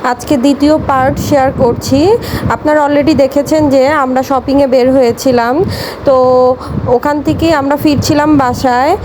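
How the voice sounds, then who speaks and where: A high-voiced Bangladeshi woman narrates a vlog in voice-over. Her voice sits well above average in pitch. The recording is clearly noisy, with vehicles in the background. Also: fairly even intonation, normal speed. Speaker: vlogger.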